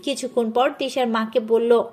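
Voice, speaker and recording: A woman's voice: a little high, fairly even intonation, moderate pace, a little mellow. A woman reading a scripted story as its narrator.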